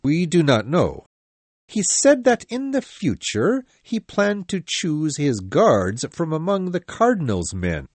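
A man's voice: on the high side, wildly swooping pitch, slow pace, dry recording, heavily acted. A man playing a proud, loyal musketeer in an audiobook, earnestly protesting to his captain. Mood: uneasy. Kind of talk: audiobook dialogue acting.

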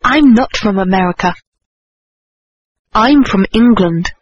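A woman reading a scripted English lesson slowly and carefully. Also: mid-range voice, lively intonation, highs cut off. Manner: slow, careful, deliberate enunciation. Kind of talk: slow scripted language-lesson dialogue and reading.

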